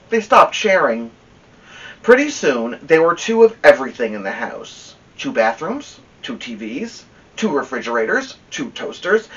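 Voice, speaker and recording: Distant muffled recording, male storyteller, higher-pitched voice, expressive read-aloud, dramatic pauses, drawn-out emphasis. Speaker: story-time host reading a children's novel aloud. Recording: dull lo-fi sound, distant from mic.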